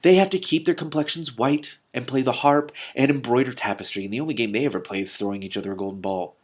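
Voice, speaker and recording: A man's voice: mid-range voice, fast, lightly acted. A man voicing a cheerful, plain young princess in a storybook, chatty and content with herself. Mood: gleeful. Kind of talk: storybook read aloud.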